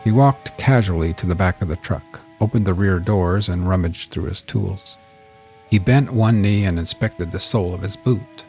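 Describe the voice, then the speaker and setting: A man reading scripted crime fiction in a measured, audiobook-style storytelling delivery. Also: deep voice, a little mellow. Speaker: narrator of a serialized crime story. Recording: music bed under the voice. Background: music.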